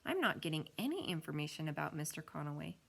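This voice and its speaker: Woman doing techy school girl, eager but a bit unsure, casual, creaky voice. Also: sits low, sing-song, fast, full character voice. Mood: puzzled.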